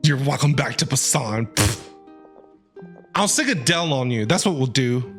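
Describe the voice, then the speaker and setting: Animated male streamer with swooping pitch. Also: moderate pace. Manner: animated, slangy, teasing. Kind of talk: casual reaction commentary on a game. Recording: game music behind the voice.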